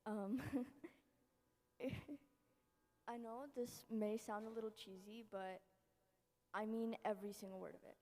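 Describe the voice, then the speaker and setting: A teenage girl giving a shy, giggly, halting speech about her older sister. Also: mid-range voice, little pitch movement, a little mellow. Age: teens. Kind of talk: nervous tribute speech. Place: event hall. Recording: long hall reverb.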